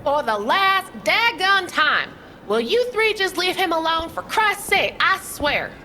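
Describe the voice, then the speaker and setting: A woman's voice: very high-pitched, very animated, a little slow, bright, forceful tone, slight background noise, exaggerated voice. A woman playing a feisty, plain-spoken hangar mechanic with a folksy, scolding and teasing way of talking in an audio drama. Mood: exasperated. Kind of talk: audio drama character acting.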